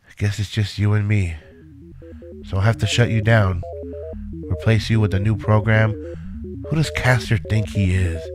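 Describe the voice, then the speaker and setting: A man's voice: fairly low pitch, steady tone, normal speed, pauses often, music in the background, close to an everyday voice. A man playing a reluctant inventor in an audio drama, earnestly protesting for the work he is devoted to. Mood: brooding, indignant. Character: Einstein, a reluctant, indignant male inventor devoted to his work.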